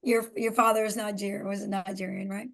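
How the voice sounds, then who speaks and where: A woman's voice: medium pitch, flat delivery, fairly quick. Earnest woman podcast host giving advice. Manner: earnest, advising, emphatic. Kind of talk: casual podcast conversation.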